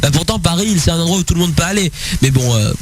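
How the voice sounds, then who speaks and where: A man's voice: a little high, lively intonation, fast talker. A man hosting a casual live radio show, nasal from a head cold and rambling with hesitations. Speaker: host of a web radio call-in show. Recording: music behind the voice. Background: music.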